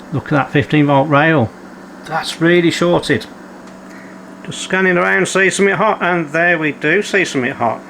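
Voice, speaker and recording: A man's voice: on the high side, a bit bright, a little hiss or hum, rough recording. A man hosting a repair video, methodically talking through his workshop fault-finding and reading out measurements as he goes. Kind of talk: calm narrated workshop repair. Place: home workshop.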